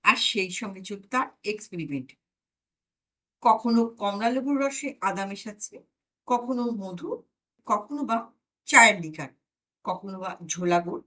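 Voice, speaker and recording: A woman narrating a comic audio story in an expressive, emphatic storytelling manner. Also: mid-range voice, a little slow, long pauses.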